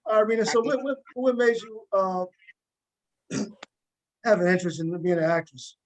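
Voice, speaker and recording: A man's voice: high voice, moderate pace, a little hiss or hum. A man hosting a casual interview show, talking with guests in an informal way. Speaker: talk show host.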